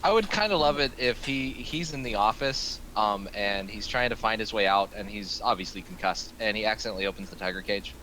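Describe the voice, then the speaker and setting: Male, lowish register. Informal discussion contributor, noticeable background noise. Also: brisk, few pauses, sharp tone. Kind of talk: casual group discussion. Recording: clearly noisy room recording.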